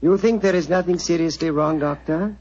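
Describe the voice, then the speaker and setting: An actor playing a worried, courteous Indian prince, formal and earnest, in a muffled, noisy 1940s radio drama. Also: male voice, a little high, a little mellow, lightly acted. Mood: pleading, worried. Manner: formal, earnest, deliberate phrasing.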